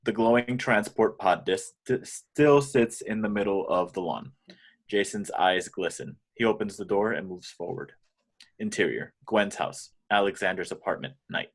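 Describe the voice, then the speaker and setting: A man with a fairly deep voice serves as narrator. He reads out stage directions and action lines from a film script. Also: moderate pace, husky.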